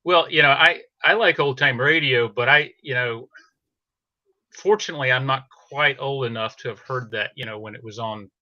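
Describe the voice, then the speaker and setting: A man's voice: mid-range voice, moderate pace, sharp tone, no echo, dull audio. A middle-aged man talking casually as a podcast guest in an interview. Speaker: podcast guest, audio drama producer.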